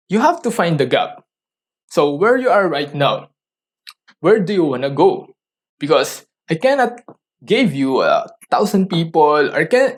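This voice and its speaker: Earnest man coaching listeners. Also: a little high. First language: Tagalog. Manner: earnest, coaching, asks listeners rhetorical questions; halting, restarts sentences often. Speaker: podcast host. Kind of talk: motivational solo podcast talk.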